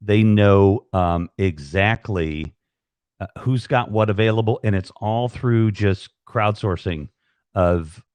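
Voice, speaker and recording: A man's voice: fairly low pitch, slow, darkish tone, clean tone, no room sound. A man hosting a casual live stream chat, rambling with many restarts and fillers.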